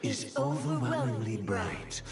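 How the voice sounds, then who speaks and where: A man's voice: mid-range voice, measured pace, natural voice. A man playing a solemn, menacing otherworldly master of dreams in a video game, speaking in a stately, formal and coldly threatening way. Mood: stern, imperious. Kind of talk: dramatic video game voice acting. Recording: echoing, distant, loud music behind. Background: game music.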